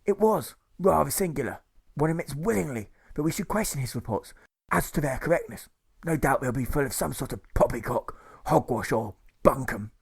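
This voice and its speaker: A man voicing a posh, excitable, quaintly polite nurse with effusive period slang in an audiobook. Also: mid-range voice, normal speed, vocal fry, husky. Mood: gushing delight.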